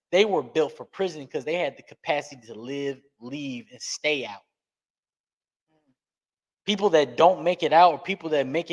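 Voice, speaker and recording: A man giving an interview on a podcast, talking earnestly and emphatically, speeding up and slowing down to stress points. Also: on the high side. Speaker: podcast guest. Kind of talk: candid interview talk.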